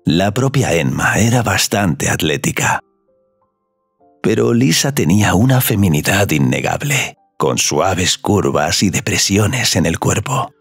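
Guy narrating a sensual audio story, husky and crackly, close dry sound, faint music. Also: deep voice, lively intonation, a little slow, no room sound. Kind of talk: scripted audio fiction narration.

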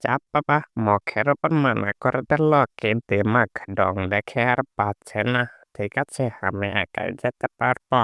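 A man's voice: on the low side, unhurried, fairly bright tone, clean tone, no room sound, studio quality. A man voicing a chatty, gullible cartoon crow who exclaims and grumbles to himself in colloquial speech. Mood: miffed. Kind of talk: cartoon character dialogue.

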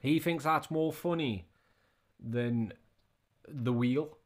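A man's voice: mid-range voice, slow pace. A man in his thirties giving casual, scornful reaction commentary, often repeating his questions. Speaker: reaction video host.

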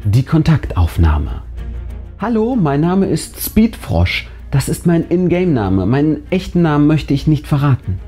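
A man narrates a scripted horror tale with a dramatic storyteller's delivery. His voice is dark and relaxed, yet the pitch moves in a lively way. Faint music plays behind him as he leaves suspenseful pauses. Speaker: narrator and channel host. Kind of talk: scripted narration of a first-person horror story, read aloud. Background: background music.

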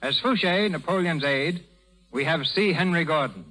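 A man's voice: a little high, animated, moderate pace, rough recording. A man announcing and narrating a 1930s radio drama in a theatrical, declamatory style. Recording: old 1930s radio broadcast, loud music.

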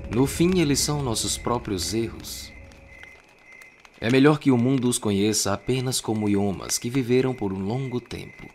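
A man with a Brazilian accent voicing a sly, condescending agent in a measured, mocking way for an anime fan dub. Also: mid-range voice, darkish tone, faint music behind, pitched below the performer's own voice, natural voice. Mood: mocking, composed.

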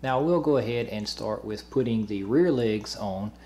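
A man in his twenties hosting a review channel, calmly explaining step by step how to put furniture together. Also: fairly low pitch, moderate pace. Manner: plain, matter-of-fact explaining. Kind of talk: instructional product assembly voice-over.

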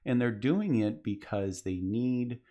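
A man's voice: medium pitch, animated, slow pace, mellow. A man presenting a conference session, explaining in a casual way with frequent fillers and restarts. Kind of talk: business presentation.

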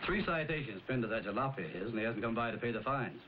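A man's voice: medium pitch, expressive, fast, very noisy, close to an everyday voice. An American man playing a friendly, matter-of-fact policeman in a 1950s television drama. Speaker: police officer. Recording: muffled 1950s television soundtrack, music behind.

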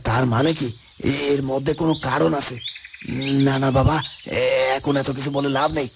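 A man's voice: mid-range voice, fairly even intonation, moderate pace, background noise, natural voice. A man voicing an anxious, suspicious subject of a fairy-tale kingdom in a cartoon, with hesitant starts. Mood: puzzled, fearful. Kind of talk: dramatic cartoon dubbing.

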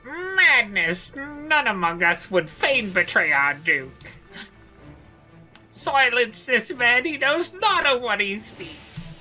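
A woman's voice: on the high side, very animated, slow pace, bright, forceful tone, faint music behind, voice pushed up, heavily acted, rough recording. A woman voicing an indignant, pompous old male chancellor in an amateur video game dub. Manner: pompous, archaic exclamations.

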